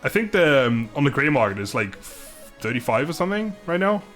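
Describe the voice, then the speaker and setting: A man's voice: a little high, big pitch swings, fairly quick, a bit bright, faint music behind. A young man from the Netherlands with a Dutch accent, chatting casually on a live stream, laughing often and stumbling over his words.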